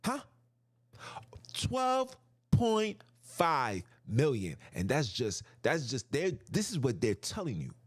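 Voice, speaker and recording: A man's voice: medium pitch, huge pitch swings, takes it slowly, no echo, studio quality. A man hosting a podcast, delivering an impassioned, preachy monologue straight to his listeners, often repeating himself for emphasis. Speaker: podcast host.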